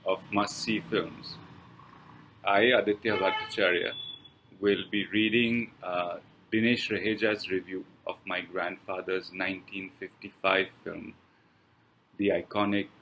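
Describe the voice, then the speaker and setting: Slow, bright-voiced man reading a review. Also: deep voice, steady tone, slight background noise, poor audio. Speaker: presenter reading a film review. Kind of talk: scripted read-aloud review with pauses.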